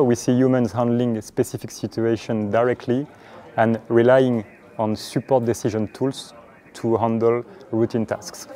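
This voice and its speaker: Male expert, forties, dark soft tone, explanatory interview delivery over background music. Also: lowish voice. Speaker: air traffic control expert interviewee. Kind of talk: explanatory corporate video interview.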